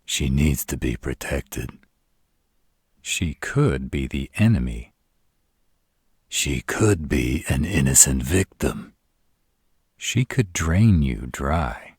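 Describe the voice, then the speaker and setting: Arrogant male vampire played by a man for audio drama: extremely low, gravel-husky, deliberate and dramatic. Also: animated, a little mellow, no echo, studio quality, full character voice. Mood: warning. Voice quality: very deep, rough husky voice. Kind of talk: scripted audio roleplay acting.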